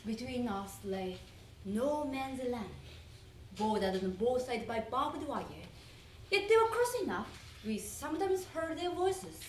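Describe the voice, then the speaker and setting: High, very animated woman reading, roomy sound. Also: a little slow. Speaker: reader in a readers theater performance. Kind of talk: dramatic recited reading of a wartime letter. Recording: roomy, distant mic, faint noise.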